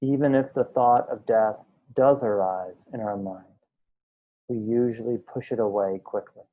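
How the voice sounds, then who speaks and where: A man teaching a guided meditation, speaking in unhurried short phrases with pauses between them. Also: on the low side, highs cut off. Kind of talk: guided meditation, slow instructional speech.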